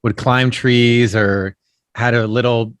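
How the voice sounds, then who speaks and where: A man's voice: medium pitch, steady tone, slow, clean tone, dry recording, clean studio sound. A man, an actor and puppeteer, telling family stories in a casual podcast interview, rambling and full of "you know" and "um". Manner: rambling storyteller, leans heavily on "you know" and "um".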